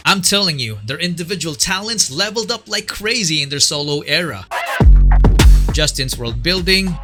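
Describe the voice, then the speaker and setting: A man narrating a fan-made documentary from a script, in a chatty way that asks the viewer questions. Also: fairly high pitch.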